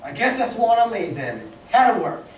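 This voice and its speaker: A man's voice: sits high, sing-song, normal speed. A man playing an earnest, boyish, brave young space adventurer in an old-time radio serial reading. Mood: eager, boyish. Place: community playhouse stage, live reading. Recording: roomy, distant mic, noisy stage recording.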